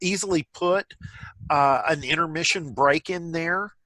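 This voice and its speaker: A man's voice: fairly high pitch, unhurried, bright, forceful tone, rough recording. A man casually leading a group discussion on a video call.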